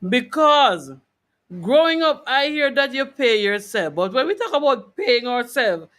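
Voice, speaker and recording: A woman with a Jamaican accent hosting a radio talk, colloquial and animated, putting questions to her guest in a conversational way. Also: sits high, unhurried. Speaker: radio host interviewing a guest.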